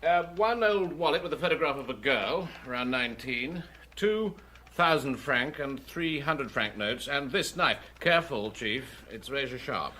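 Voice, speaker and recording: Forceful, plain-spoken police sergeant. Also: male voice, mid-range voice, lively intonation, unhurried. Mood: careful. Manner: plain, deferential. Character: loyal, matter-of-fact male police sergeant. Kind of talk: radio drama acting. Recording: noisy ambience behind.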